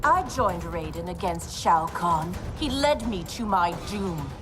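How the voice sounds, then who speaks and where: A woman's voice: fairly low pitch, lively intonation, music in the background. A woman playing a scornful, bitter undead warrior in a video game, speaking in an imperious way. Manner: imperious, deliberate. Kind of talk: video game cutscene acting.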